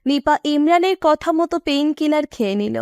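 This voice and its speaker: A woman's voice: high-pitched, expressive, moderate pace, a little mellow, no echo. A woman narrator reading a scripted romantic story.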